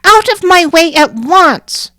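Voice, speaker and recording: A woman voicing a fierce, bossy little girl in a storybook reading, with shrill, demanding lines. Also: very animated, takes it slowly, sharp tone, no echo, clean studio sound, exaggerated voice.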